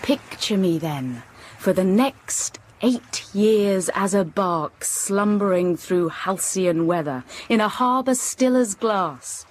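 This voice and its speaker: An actress playing a reserved, melancholy young gentlewoman, narrating her life in a measured way in a literary audio drama. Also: medium pitch, expressive. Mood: sombre, reflective. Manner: measured, sombre. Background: music.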